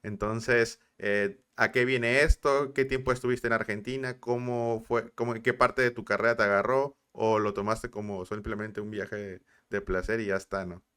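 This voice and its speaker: Low, bright, clear-toned male host, hesitant, filler-heavy questions. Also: fairly even intonation, normal speed, few pauses. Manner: hesitant, many fillers and restarts. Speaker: interviewer host. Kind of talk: interview questions.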